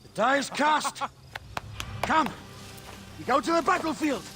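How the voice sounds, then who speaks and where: An actor playing an imperious Roman general who commands and declaims in a dramatic video game scene. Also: male voice, extremely high pitch, lively intonation, measured pace, over music. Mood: impatient command.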